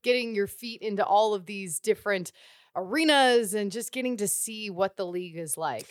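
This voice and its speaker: A woman's voice: medium pitch, normal speed, no echo, clean studio sound. A woman hosting a sports podcast and asking questions in a conversational way. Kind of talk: conversational interviewing.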